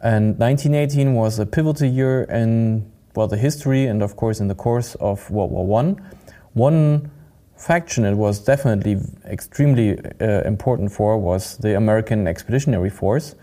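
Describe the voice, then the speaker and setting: Male podcast host, lowish mellow voice, friendly and chatty, filler-heavy questions. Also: moderate pace. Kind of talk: podcast interview hosting.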